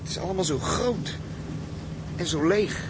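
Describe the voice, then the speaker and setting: A frightened galley boy of sixteen in a radio drama. His voice is on the high side, timid and hesitant, with clear engine noise behind. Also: expressive, unhurried. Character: scared sixteen-year-old ship's galley boy. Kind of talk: Dutch radio drama acting.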